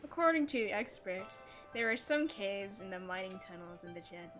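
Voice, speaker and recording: A woman's voice: mid-range voice, moderate pace, over music. A woman hosting a casual gaming livestream, chatting playfully as she comments on the game and reads bits of dialogue aloud. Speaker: livestream host.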